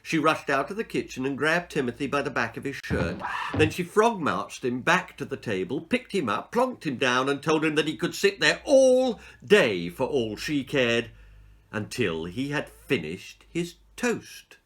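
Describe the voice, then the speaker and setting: Animated male storyteller, bright voice. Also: mid-range voice, measured pace. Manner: theatrical, suspenseful delivery. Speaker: author reading his own children's story. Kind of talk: dramatic storybook narration.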